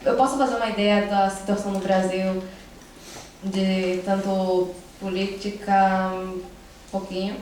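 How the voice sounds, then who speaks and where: A teenage girl from Brazil talks on a panel in a relaxed, faltering way, pausing often and leaning on fillers. Her voice comes from some way off the mic. A large hall throws a heavy echo around it. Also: medium pitch, slight background noise. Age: teens. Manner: hesitant, many fillers and pauses. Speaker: panel speaker. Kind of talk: casual panel talk. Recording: echoey hall, mic at a distance.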